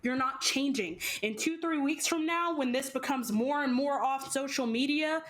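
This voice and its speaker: A woman's voice: high voice, normal speed, a bit bright. A woman with a Texan accent speaking emphatically on a video call panel, lecturing and repeating key phrases. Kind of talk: impassioned panel discussion.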